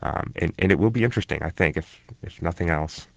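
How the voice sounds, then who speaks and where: A man answering interview questions in a relaxed, thoughtful way, with frequent ums and restarts. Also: deep voice, quick pace, gravelly, no room sound, dull audio. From born in Germany to Egyptian parents. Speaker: interview guest, researcher.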